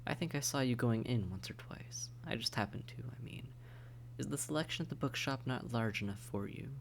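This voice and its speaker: A man's voice: medium pitch, a little fast, creaky, slight background noise, natural voice. A man playing a suave, condescending vampire in an intimate audio roleplay, speaking to the listener in a polite, wry, teasing and articulate way. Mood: wry, conversational.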